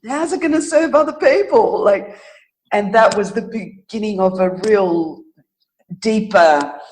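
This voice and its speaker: A middle-aged woman telling her own story as an interview guest, reflective and thoughtful, often pausing to search for words. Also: medium pitch, a little mellow, low-quality recording.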